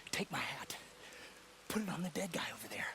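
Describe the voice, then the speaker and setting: A man's voice: fairly high pitch, big pitch swings, a little fast, gravelly, background noise. A man playing a slippery, wheedling outlaw who bargains and pleads in a video game western. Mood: desperate pleading. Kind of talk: video game cutscene acting.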